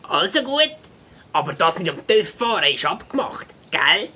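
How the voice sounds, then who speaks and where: A woman playing a cheeky, stubborn young schoolboy hedgehog in a Swiss German children's audio drama, with a husky voice and cocky slang. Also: lowish voice, big pitch swings, moderate pace, bright, forceful tone, heavily acted. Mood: eager.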